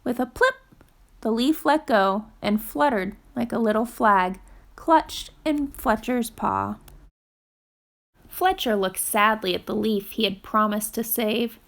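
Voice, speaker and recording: Woman, storytime reader, slow careful delivery, higher-pitched voice, gentle expressive storybook narration. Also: vocal fry.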